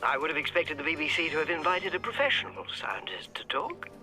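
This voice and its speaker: An actor playing a pompous, condescending scientist in a radio drama, clipped and superior in manner. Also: male voice, fairly high pitch, big pitch swings, fairly quick, bright, forceful tone, faint music behind, full character voice. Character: pompous, snobbish scientist, cold and condescending rival.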